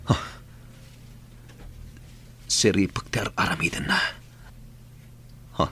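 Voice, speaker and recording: A man acting a gruff, scheming bandit boss, brash and jumpy, in an Ilocano comedy radio drama, with an Ilocano accent. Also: low-pitched, slow pace, fairly bright tone, heavily acted. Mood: stealthy, muttering.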